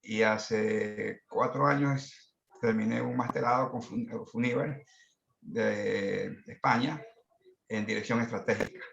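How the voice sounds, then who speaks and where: Gravelly Ecuadorian man in his sixties. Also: on the low side, takes it slowly, a bit bright, a little hiss or hum. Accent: Ecuadorian Spanish. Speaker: masterclass participant, management consultant. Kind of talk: introducing himself and sharing work experience. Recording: muffled, roomy online call audio.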